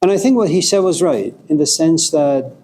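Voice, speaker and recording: A man's voice: fairly high pitch, normal speed, dark tone. A middle-aged man from Iran gives an informal philosophy lecture, passionate and emphatic, swearing at times.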